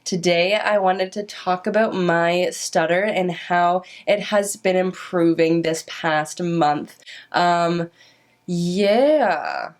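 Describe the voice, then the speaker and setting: Slow-talking young woman who stutters. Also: lowish voice. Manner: stutters with repeated sounds and word restarts. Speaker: vlogger. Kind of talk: casual vlog talk to camera.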